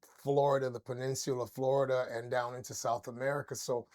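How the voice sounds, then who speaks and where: A man's voice: medium pitch, flat delivery, a little fast, darkish tone. An older man from Barbados, an interview guest telling stories in a loose, rambling way with many fillers. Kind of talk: casual interview storytelling.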